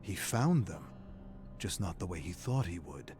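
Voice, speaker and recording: A man performing a brooding, ominous narrator of horror lore, reading gravely and deliberately in a dramatic style. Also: low-pitched, big pitch swings.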